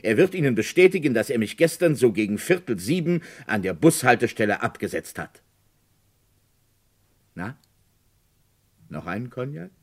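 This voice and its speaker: An actor playing a composed, courteous village notary under suspicion in a radio play, speaking politely and deliberately with a husky voice. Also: male voice, medium pitch, big pitch swings. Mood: smooth, assured. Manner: polite, deliberate, halting explanations.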